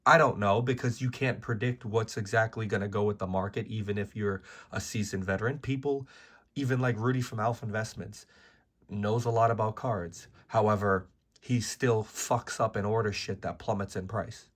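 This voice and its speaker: A man with a hoarse, worn-out voice from being sick, delivering a blunt, profane, exasperated rant to camera as a card-game video host. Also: on the low side, fairly even intonation, moderate pace. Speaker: trading card game YouTuber. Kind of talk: ranting video commentary.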